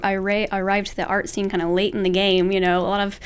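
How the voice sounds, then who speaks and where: A woman's voice: mid-range voice, flat delivery, fast, smooth. A young woman from Alabama, an artist talking earnestly and reflectively as a guest in a casual interview. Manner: earnest, reflective, self-correcting.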